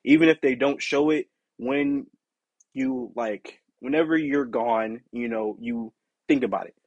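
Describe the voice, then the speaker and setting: Raspy young man, dry close sound. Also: measured pace, a little mellow, dry recording, muffled recording. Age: young adult. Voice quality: deep and raspy. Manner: candid, rambling, full of "you know" and "like". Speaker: podcast host. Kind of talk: casual solo podcast monologue.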